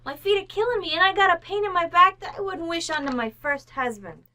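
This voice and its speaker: A woman's voice: extremely high pitch, expressive, fairly quick, sharp tone, slight background noise, full character voice. A woman playing a brash working-class housekeeper in an old-time radio comedy, blunt and slangy in her speech. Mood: brassy, complaining.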